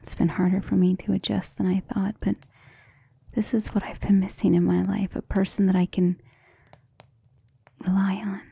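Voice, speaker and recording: A woman voicing a centuries-old vampire lover in an ASMR romantic roleplay, soft, breathy and often whispering, intimate and gentle, with formal wording. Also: medium pitch, level tone, normal speed, pauses often. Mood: tender, vulnerable. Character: centuries-old female vampire lover, tender, protective, self-assured.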